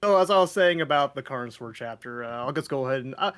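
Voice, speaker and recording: A man's voice: mid-range voice, expressive, fast talker, fairly bright tone, clear, resonant tone. A man in casual chat as a guest on a livestream, joking and teasing. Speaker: stream guest, writer.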